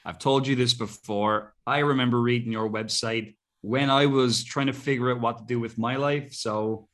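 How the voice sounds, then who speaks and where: Irish guy in his 30s, podcast guest, mid pitched voice, chatty and earnest. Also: fairly even intonation, normal speed, a bit bright. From Ireland. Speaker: interview guest, entrepreneur. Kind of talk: casual conversation.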